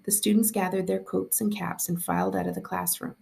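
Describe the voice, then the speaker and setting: A woman reading a story aloud as a school librarian, in an expressive narrating style. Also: on the low side, brisk, creaky.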